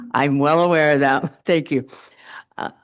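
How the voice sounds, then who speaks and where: A woman speaking loudly to a church council meeting in a meeting room, chatty and given to joking asides. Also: deep voice. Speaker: committee presenter.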